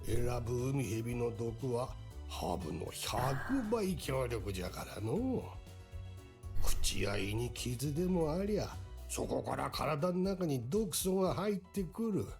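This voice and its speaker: An actor playing a gruff old male island doctor in a game, with a gravelly old-man drawl. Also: medium pitch, sing-song, moderate pace, darkish tone, soft background music. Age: older. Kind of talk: Japanese game voice acting.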